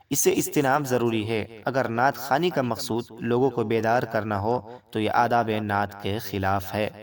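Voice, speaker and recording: A man presents religious rulings in a formal, scripted way, speaking directly to his viewers. His pitch sits in an ordinary male middle range. The voice is picked up at some distance from the microphone. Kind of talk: formal scripted explanation, reading rulings aloud.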